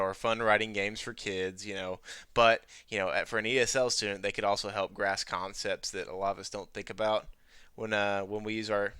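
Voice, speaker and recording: A man's voice: lowish voice, pushed and bright, clean tone, dry recording. A man presenting an educational tutorial in an informal, conversational way, often dropping in "you know". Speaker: presenter of an educational video on teaching ESL. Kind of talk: casual tutorial talk over a screen demonstration.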